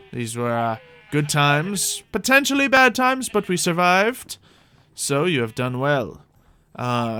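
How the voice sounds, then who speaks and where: A man's voice: fairly high pitch, fairly bright tone. A man giving casual, rambling live gameplay commentary as a streamer, playfully talking to himself and to the animals in the game.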